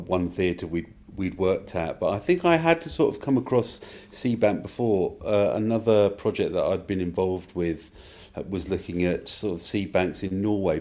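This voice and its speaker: A man with a deep, mellow voice. He talks as a writer in a casual interview, thoughtfully and haltingly, searching for his words. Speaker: writer interviewed about an audio drama.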